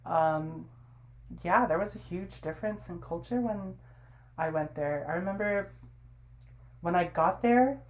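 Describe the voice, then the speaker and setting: A woman's voice: lowish voice, unhurried, pauses often, a little mellow, lo-fi sound. A young woman hosting a casual interview podcast, talking in a laid-back way with lots of fillers. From United States. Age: young adult. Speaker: podcast host.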